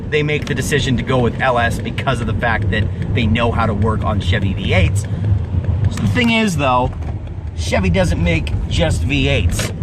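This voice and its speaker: Man, 20s, mid-pitched, swearing, emphatic car-vlog rant, distant mic outside with clear traffic noise. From US Pacific Northwest. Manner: profane, emphatic, repeats himself, laughs at own jokes. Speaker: car vlogger. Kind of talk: casual ranting vlog.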